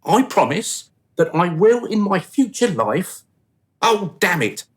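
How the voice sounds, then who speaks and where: Man acting a flustered, excitable dead soul. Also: sits high, takes it slowly, exaggerated voice. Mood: apologetic. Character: anxious, pleading dead man, eager to be reincarnated. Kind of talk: radio play acting.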